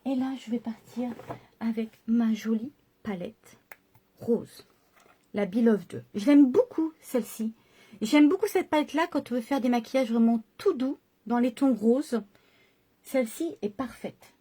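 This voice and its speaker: Woman, makeup vlogger, chatty step-by-step tutorial, fairly high voice. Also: a little slow, warm tone, husky. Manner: chatty, explains each step to viewers.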